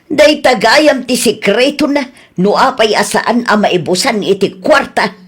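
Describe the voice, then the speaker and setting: A woman's voice: fairly high pitch, animated, a little slow, breathy edge. A woman playing a dismayed middle-aged village mother in a radio drama, exasperated and drawing out her words. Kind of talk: Ilocano comedy radio drama acting.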